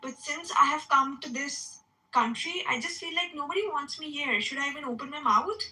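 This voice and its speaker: Earnest young Indian-accented woman, high bright. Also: normal speed, reverberant, far from the mic, low-quality recording. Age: 20s. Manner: earnest, apologetic and emotional. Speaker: caller. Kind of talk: open call-in discussion.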